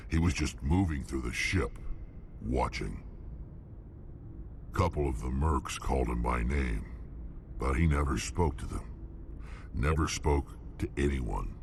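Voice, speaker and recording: An actor playing a gruff, hulking alien mercenary in a video game, blunt and menacing, with a gravelly rumble. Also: male voice, heavily acted. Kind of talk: video game character dialogue.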